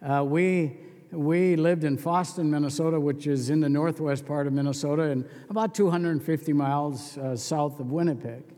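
A man's voice: fairly high pitch, fairly even intonation, normal speed, mellow, big-room sound. A man preaching a sermon as pastor, telling stories in a folksy, conversational way.

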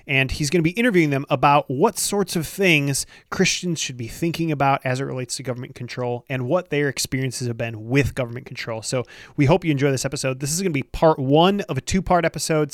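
A man's voice: medium pitch, fast, dry recording, studio quality. A man giving a scripted introduction to a podcast episode as its announcer.

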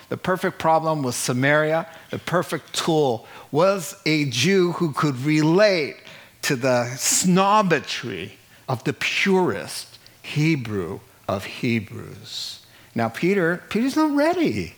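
A middle-aged man preaching a sermon in an animated way, acting out the people he quotes and laughing at his own jokes. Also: high voice. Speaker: pastor.

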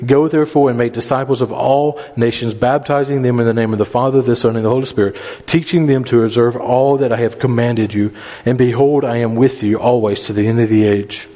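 A man's voice: mid-range voice, steady tone, fairly quick, dark tone. A man preaching a sermon, explaining scripture verse by verse in an earnest, instructive manner with repeated phrases for emphasis. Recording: reverberant hall.

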